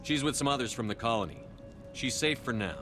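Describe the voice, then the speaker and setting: An actor playing a resolute military commander in a sci-fi video game, terse and businesslike. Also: male voice, medium pitch, animated, a little fast, over loud music, close to an everyday voice. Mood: firm, inquiring. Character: resolute male military commander, adult, space soldier.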